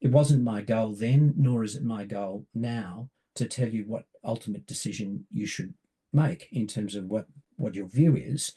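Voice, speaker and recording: Croaky man with a cold, lecturing. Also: on the low side, dark tone. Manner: measured, hesitant with many ums. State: hoarse from a cold. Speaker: barrister giving a public talk. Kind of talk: public lecture.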